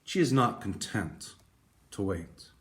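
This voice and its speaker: A man reading a picture book aloud, with dramatic emphasis and deliberate pauses. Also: fairly low pitch, animated, fairly bright tone. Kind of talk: expressive storybook read-aloud.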